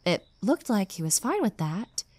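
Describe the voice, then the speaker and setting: A woman's voice: mid-range voice, very animated, moderate pace, darkish tone, breathy edge, no room sound. A woman playing an exasperated single young woman venting about a bad date in an intimate audio roleplay, with sarcastic asides. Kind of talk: roleplay audio drama, intimate conversational monologue.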